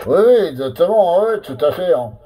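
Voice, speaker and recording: A man operating a CB radio, chatting casually with lots of pauses and filler words. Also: sits high, lively intonation, mellow, breathy edge. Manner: hesitant, full of fillers and tags.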